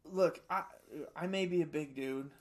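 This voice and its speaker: A middle-aged man with a high-pitched voice, telling animated stories in a casual chat. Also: measured pace. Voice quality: high-pitched voice for his size. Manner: animated storyteller, acts out quotes with laughs.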